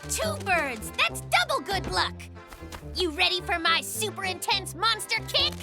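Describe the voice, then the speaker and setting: A very high-voiced young fox cub in a kids' cartoon. The voice leaps and swoops, puffed up with theatrical self-importance, like a tiny detective narrating a big case. Music plays clearly behind. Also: very animated, unhurried, heavily acted. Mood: excited, boastful. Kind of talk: children's cartoon voice acting.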